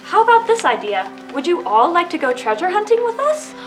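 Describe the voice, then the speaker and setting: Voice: extremely high pitch, very animated, brisk. An amateur performer with a female voice playing a cooperative storybook protector of the forest in reader's theater. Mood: eager. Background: clear background music.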